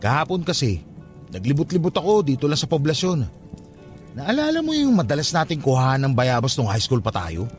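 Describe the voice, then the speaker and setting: A man's voice: on the high side, wildly swooping pitch, brisk, soft background music. An actor playing a brash young man who teases and mocks his cousin in a radio drama. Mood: casual. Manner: teasing, brash, mocking. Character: cocky, skeptical young funeral-parlor worker teasing his cousin. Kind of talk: radio drama dialogue, casual banter.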